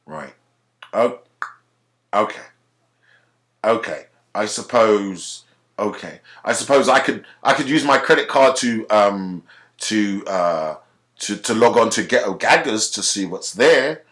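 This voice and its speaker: A man's voice: fairly low pitch, expressive, takes it slowly, long pauses, a bit bright. A man with a British accent, from Africa, ranting to camera in a heated, profane way and often restarting his sentences. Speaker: video commentator.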